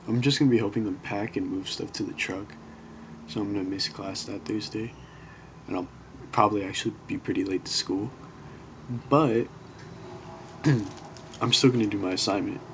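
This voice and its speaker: A young man giving a casual class presentation from his bedroom, talking quietly and apologizing as he rambles a bit. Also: on the low side, fairly even intonation, normal speed, pauses often. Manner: quiet, self-conscious, apologetic, loose and rambling. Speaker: student presenting a class project. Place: bedroom in an apartment next to a street. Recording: faint street noise.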